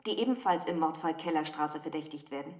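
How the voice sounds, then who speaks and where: A woman's voice: sits low, fast, a little mellow, echoey room, close to an everyday voice. Woman playing a composed, probing ministry official. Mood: challenging. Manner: formal, precise, probing. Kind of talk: German radio drama acting.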